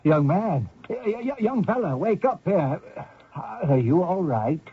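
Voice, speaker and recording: An actor playing a blunt, folksy small-town man who probes strangers, husky, in old-time radio drama style. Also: high voice, very animated, unhurried, dull audio, soft background music, full character voice. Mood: concerned. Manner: folksy, probing, plain-spoken.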